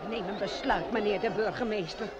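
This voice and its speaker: An insistent village butcher played by a man, complaining urgently in a high voice. It is an old radio play with a crowd clearly behind. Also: steady tone, brisk, muffled recording, lightly acted.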